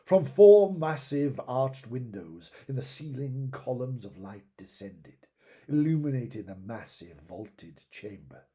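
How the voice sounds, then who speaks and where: Guy narrating fantasy tales, slow and kinda raspy, dramatic delivery, lets lines drop off quiet. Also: medium pitch, big pitch swings. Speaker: narrator reading fantasy fiction aloud. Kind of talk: dramatic scripted storytelling narration.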